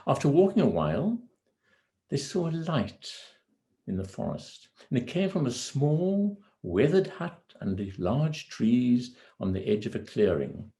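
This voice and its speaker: A man telling a fairy tale in a deliberate manner, pausing between phrases. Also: mid-range voice, big pitch swings, warm tone. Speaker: amateur storyteller. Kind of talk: measured fairy tale storytelling.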